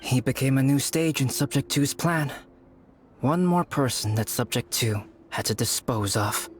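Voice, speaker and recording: An actor playing a calm, pensive young alchemist in a game, speaking in a grave, deliberate, storytelling way over music. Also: male voice, mid-range voice, close to an everyday voice. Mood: grave, measured. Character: calm, pensive young male alchemist telling a dark tale. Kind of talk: measured game dialogue storytelling. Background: background music.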